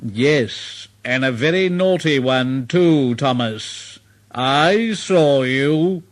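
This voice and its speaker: A man voicing a stern, portly railway boss in a storybook reading, speaking in a measured tone. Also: medium pitch, lively intonation.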